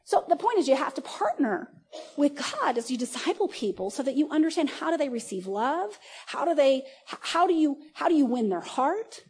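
Animated high-voiced woman preaching. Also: normal speed, slight background noise. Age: 40s. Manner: animated, laughing, many fillers and restarts. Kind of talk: casual conversational sermon. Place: church service.

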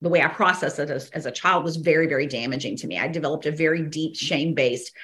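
A woman with a slightly hoarse, fairly low voice hosts her teaching podcast. She talks in a rambling, casual way, full of fillers and restarts, and laughs briefly between thoughts. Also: fast talker, a bit bright. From American South. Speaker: podcast host and ministry teacher.

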